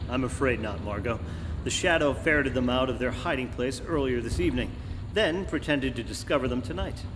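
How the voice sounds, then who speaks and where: An actor playing a suave, calmly assured American detective hero explaining things in measured old-time radio drama style. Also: male voice, mid-range voice, close to an everyday voice. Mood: wry, calm. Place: church hall stage, live performance. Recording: echoey church hall, clearly noisy.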